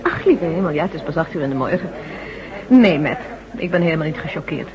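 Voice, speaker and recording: An actress playing a concerned, sensible woman doctor in a radio drama, gently cautioning and lightly teasing. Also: low voice, expressive, brisk. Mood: polite, bright. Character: concerned, sensible female doctor, brain specialist. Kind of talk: radio drama acting in Dutch. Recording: dull radio recording with background chatter.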